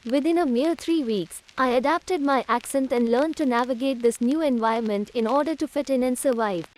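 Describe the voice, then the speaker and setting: Older woman from india telling her story, slow and measured, formal wording, pretty high voice. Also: a little mellow, a little hiss or hum, low-quality recording. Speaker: interviewee recalling migration. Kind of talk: recorded personal recollection.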